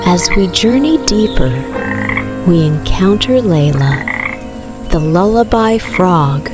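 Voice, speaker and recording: A woman narrates a children's bedtime story at a very slow pace. Her delivery is gentle and soothing, as if speaking to small children settling down to sleep. Clear background music plays under her voice, along with rainforest night sounds of crickets and frogs. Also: fairly low pitch. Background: music with rainforest night sounds of rain, crickets and frogs.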